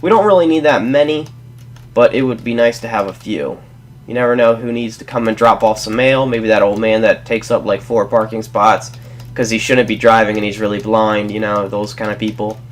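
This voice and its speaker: A man's voice: lowish voice, a little fast. A young man with a congested, stuffy voice narrating a casual building tutorial as a game host, rambling and correcting himself as he goes. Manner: rambling, self-correcting, apologetic. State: congested from a cold. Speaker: Let's Play host.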